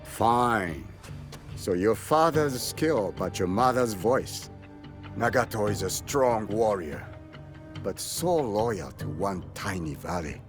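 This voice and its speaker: A grave shinobi veteran from a video game, with a low voice and slow, deliberate delivery. He sounds ominous and philosophical, a fatalist through and through, over prominent music. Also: expressive. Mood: ominous, measured. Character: grave, fatalistic legendary shinobi warrior, once a prodigy. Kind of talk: dramatic game cutscene acting. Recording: music behind the voice.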